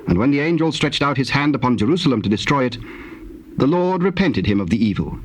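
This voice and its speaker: A man narrating dramatized scripture in a measured, formal manner. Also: mid-range voice, lively intonation. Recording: faintly noisy old cassette tape.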